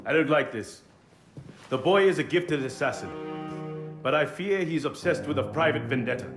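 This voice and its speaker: An actor as a hot-headed council assassin, blunt and blustering. His high, animated voice echoes in a game cutscene, with loud music behind. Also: moderate pace, lightly acted. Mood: distrustful. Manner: combative, blunt. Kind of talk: video game cutscene acting. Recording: echoing hall, loud music.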